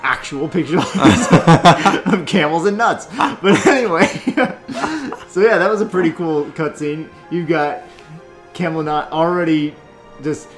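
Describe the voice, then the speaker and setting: A man's voice: a little high, measured pace, fairly bright tone, soft background music. A man co-hosting a gaming video, casually explaining and discussing cutscenes in informal language. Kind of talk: casual chat commentary on game cutscenes.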